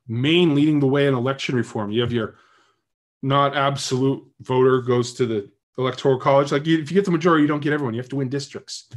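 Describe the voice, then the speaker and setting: A man's voice: medium pitch, fairly even intonation, quick pace. Chatty joking male podcast host. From New Hampshire. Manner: joking, chatty, thinks aloud. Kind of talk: casual political chat on a livestream.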